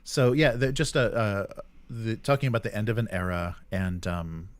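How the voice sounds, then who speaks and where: Low-voiced man, halting and agreeable. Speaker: co-host of a tabletop gaming news show. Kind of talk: casual talk, commenting on news.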